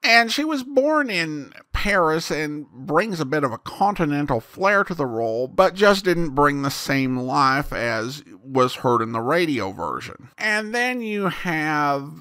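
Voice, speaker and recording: A man hosting a podcast, giving conversational review commentary in a wry, animated manner. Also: fairly high pitch, a little slow, hardly any pauses. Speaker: podcast host.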